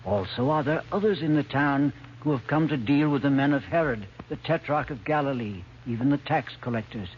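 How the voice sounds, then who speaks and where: A plain-spoken, sceptical shepherd, played by a male actor in a vintage radio nativity play. He declaims archaic English in a mid-pitched voice, over a dull recording with faint hiss. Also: a little fast, close to an everyday voice. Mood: respectful, informative. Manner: blunt, declamatory. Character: blunt, sceptical shepherd guide of ancient Judea. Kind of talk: old-time radio drama acting, archaic English. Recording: noisy, muffled old radio recording.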